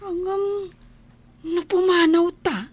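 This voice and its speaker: A woman's voice: very high-pitched, steady tone, slow pace. A woman playing an emotional, stubborn young housemaid who pleads plaintively in a radio drama. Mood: pleading, insistent. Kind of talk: Ilocano radio drama acting.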